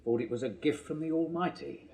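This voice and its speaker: An actor playing a plain-spoken Victorian police constable with a blunt, gruff, deadpan manner. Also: male voice, fairly high pitch, brisk, reverberant, lightly acted. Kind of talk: 1980s radio drama acting.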